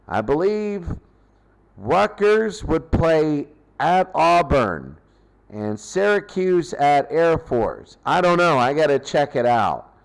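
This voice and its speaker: Slow-paced man giving a sports recap. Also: a little high, big pitch swings, creaky. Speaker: host recapping football tournament results. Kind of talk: unscripted sports recap and commentary.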